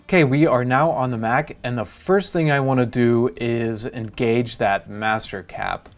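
A man's voice: mid-range voice, unhurried, faint music behind. A middle-aged man hosting a how-to demonstration, explaining each step in a plain, methodical way. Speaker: tutorial host.

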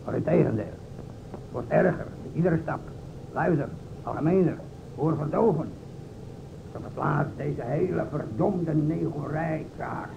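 An actor playing a solemn, theatrical investigator in an old radio drama, speaking formally and deliberately to a widow in a gravelly voice. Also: male voice. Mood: dramatic, tormented. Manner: formal, deliberate, dramatic storytelling with pointed pauses. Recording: vintage radio, narrow band, hiss and rumble.

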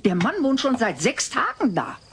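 A nosy, brash neighbour gossips in a sitcom audio drama. Her voice is husky and swings extremely widely in pitch. She is chatty and blunt. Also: very animated, normal speed, exaggerated voice. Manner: chatty, blunt, sing-song greetings.

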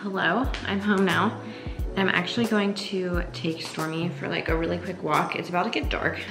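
A woman's voice: lowish voice, steady tone, normal speed, music in the background. A woman in her twenties chatting casually into a handheld camera as she goes about her day, rambling and saying "like" a lot. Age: 20s. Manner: chatty, rambling, says "like" often. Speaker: vlogger. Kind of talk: casual vlog chat. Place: car, kitchen and apartment. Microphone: handheld phone camera at arm's length.

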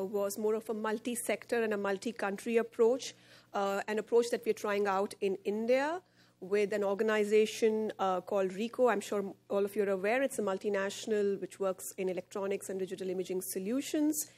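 A woman in her thirties speaks at a podium microphone on a conference stage. She explains her organization's work in a presenting tone, with frequent fillers. Her pitch sits in the middle range for a woman. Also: steady tone, a little fast, darkish tone. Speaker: head of partnerships at a charity.

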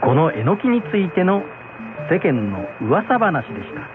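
A man's voice: on the high side, big pitch swings, a little slow. A middle-aged man narrating a scripted folk tale as storyteller and host. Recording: muffled phone-like sound, background music.

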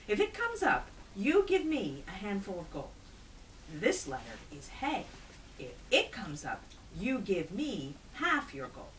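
A woman's voice: a little high, recorded from a distance, slight background noise, pitched above the performer's own voice, low-quality recording. A woman voicing a sly, cheerful trickster man in a storybook, wheedling and exclaiming in a sing-song way.

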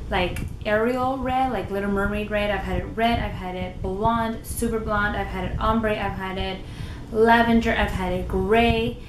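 A young woman with a naturally loud voice, chatting casually to the camera in her living room with lively gestures. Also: medium pitch. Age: young adult. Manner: animated, gestures a lot with her hands. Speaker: lifestyle vlogger. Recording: roomy with noticeable background noise.

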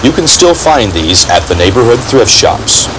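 A man's voice: mid-range voice, big pitch swings, normal speed, heavy background noise. An American man hosting and narrating a TV program in a scripted documentary style. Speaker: TV program host, radio collector.

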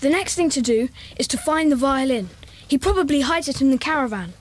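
A woman's voice: high voice, lively intonation, brisk. A woman playing an earnest, bossy young schoolboy gang leader in a children's audio drama. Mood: intrigued.

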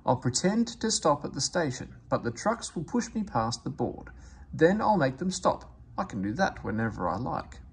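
A man's voice: medium pitch, big pitch swings, moderate pace, slightly rough, full character voice. A man voicing a cheeky, conceited young tank engine in a children's storybook. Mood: scheming. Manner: boastful, plaintive when in trouble.

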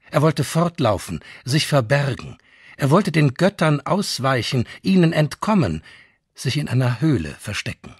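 A man reading the part of a solemn chronicler in a husky voice. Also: medium pitch, dry recording, clean studio sound. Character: solemn chronicler telling of a frightened ruler.

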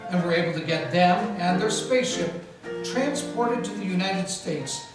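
A man playing a brash two-fisted 1940s detective, full of bluster and quick wisecracks. The delivery swings up and down, heard from far off in a big echoing room. Music plays faintly behind the live radio-play performance. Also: high voice, animated. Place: large historic mansion room with a live audience. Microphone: distant camera microphone.

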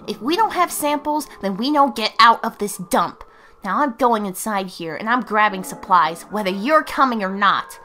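A woman's voice: medium pitch, animated, moderate pace, bright, forceful tone, big-room sound. A woman voicing a stern, curious and bossy fox researcher in a scripted comic dub. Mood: determined. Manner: firm, bossy.

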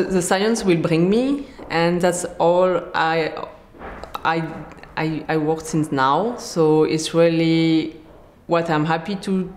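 A woman's voice: sits low, darkish tone, roomy sound, a little hiss or hum. A woman in her thirties from France with a French accent, answering interview questions with many fillers and restarts. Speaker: interviewee, scientist. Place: bright office room indoors.

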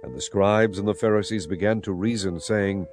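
A man narrating dramatized scripture as a solemn, measured storyteller. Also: fairly low pitch. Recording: clear music bed behind narration. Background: music.

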